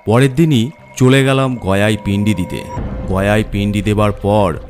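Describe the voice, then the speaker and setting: A man's voice: on the low side, fairly even intonation, moderate pace, a little mellow. A man narrating a first-person horror story in a theatrical, suspenseful way. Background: music.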